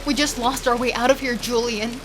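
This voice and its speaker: A woman playing a thrill-seeking, remorseless killer and thief, narrating in an intimate, breathy way for a horror audio drama. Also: on the high side, fairly even intonation, fairly quick, natural voice. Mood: alarmed. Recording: music under the voice. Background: music.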